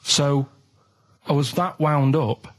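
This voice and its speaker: A man's voice: fairly high pitch, slow pace, heavy background noise. A man telling casual anecdotes on a radio show in a matter-of-fact, colloquial way.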